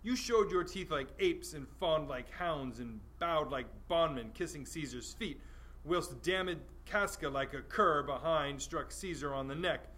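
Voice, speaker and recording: A man's voice: a little high, animated, unhurried, a bit bright. A man playing a hot-blooded, scornful Roman general in an amateur dramatic Shakespeare reading, with a theatrical, taunting manner. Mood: scornful, accusing. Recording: faint background room noise.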